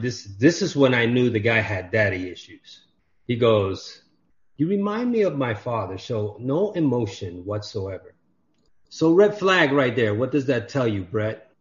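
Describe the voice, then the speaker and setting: A man telling stories in a relaxed chat, acting out each side of the dialogue with animated emphasis. He talks at an ordinary pitch, and the recording sounds a little dull and lo-fi. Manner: animated storyteller, acts out dialogue. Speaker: podcast guest. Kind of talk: casual chat. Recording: dull lo-fi audio.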